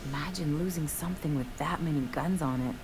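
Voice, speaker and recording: A woman's voice: low voice, a little fast, a little mellow, slight background noise. A woman playing a wry, cynical crew companion in a video game, talking in a casual, sardonic, folksy way. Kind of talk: video game dialogue acting.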